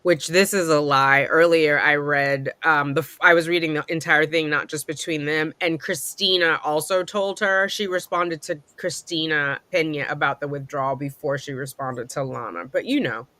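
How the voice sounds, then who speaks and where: A woman's voice: low-pitched, steady tone, normal speed, hardly any pauses, sharp tone, dry recording. Chatty woman podcast host, dry close mic. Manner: chatty, filler-heavy, rambling. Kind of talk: casual true-crime chat.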